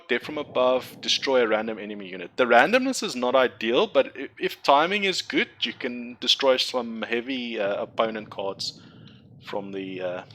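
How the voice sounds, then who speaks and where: A man's voice: mid-range voice, bright, forceful tone. A young man whose first language is Afrikaans, rambling and correcting himself as he walks through building a card game deck. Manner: rambling, self-correcting, chatty explainer. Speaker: gaming content creator. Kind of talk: casual game tutorial commentary.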